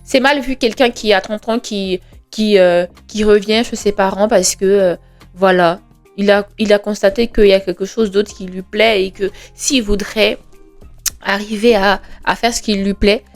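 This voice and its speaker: A woman's voice: mid-range voice, steady tone, normal speed. Earnest young woman musing aloud. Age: 20s. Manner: earnest, conversational, hesitant with frequent fillers; rhetorical questions, emphatic reassurance. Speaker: podcast host. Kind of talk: reflective monologue. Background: faint background music.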